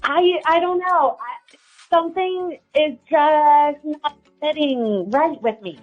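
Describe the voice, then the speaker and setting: A woman plays a suspicious, bewildered girlfriend phoning a radio show. Her voice is high and she rambles in casual, filler-heavy chat over a music bed. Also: slow, muffled recording. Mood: puzzled, suspicious.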